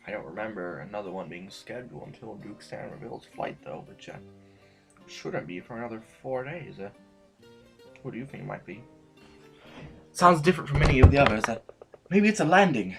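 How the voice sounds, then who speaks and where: A man playing a hesitant, anxious character in a science fiction audio drama, halting and tentative. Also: fairly low pitch, vocal fry.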